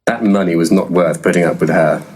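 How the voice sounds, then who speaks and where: An actor playing a shifty, blunt, deadpan paranormal investigator in a comic audio play. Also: male voice, sits low, brisk, slight background noise, close to an everyday voice. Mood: dry, deadpan. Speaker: actor in an audio comedy drama. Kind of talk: audio play dialogue, dry comedy.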